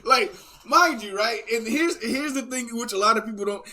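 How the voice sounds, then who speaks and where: A man gives casual reaction commentary in an unusually high voice. He is excitable and slangy, often doubling up words as he makes his point. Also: normal speed. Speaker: reaction video host.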